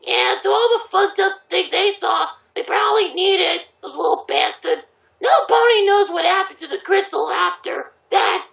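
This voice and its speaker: A woman voicing a high-pitched cartoon character who delights in despair, reading a story aloud. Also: moderate pace, creaky, a bit bright, heavily acted. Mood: gleeful. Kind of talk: character-voice reading of fan fiction. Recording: roomy echo.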